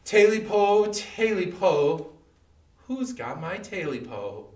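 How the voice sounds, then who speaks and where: A man voicing an eerie scaled night creature in a storyteller's folktale, with a drawn-out, haunting chant. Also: high-pitched, big pitch swings, pitched above the performer's own voice, full character voice. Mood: eerie calling. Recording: roomy, echoing, distant microphone.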